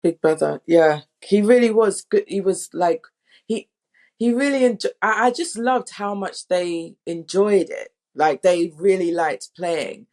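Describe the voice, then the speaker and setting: A woman's voice: on the low side, unhurried, darkish tone. A woman speaking informally and animatedly, telling anecdotes in a casual panel conversation as a director. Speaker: audio drama director.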